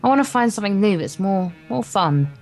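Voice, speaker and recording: A woman's voice: mid-range voice, animated, fairly quick, a little mellow. A woman reading a visual novel aloud in an informal let's play, occasionally stumbling over the words. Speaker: guest co-player reading the narration aloud. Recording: guitar music behind the voice. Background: game's guitar music.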